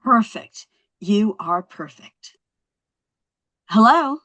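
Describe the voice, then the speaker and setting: An actress playing a brash, quick-witted young wife in a scripted comedy table read. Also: female voice, mid-range voice, animated, a bit bright, rough recording. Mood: cheerful.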